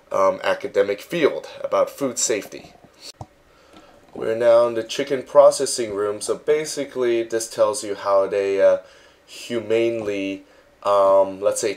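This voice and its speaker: A man narrating a casual walkthrough as a virtual world tour guide, relaxed and halting, with frequent ums. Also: on the low side, a little hiss or hum.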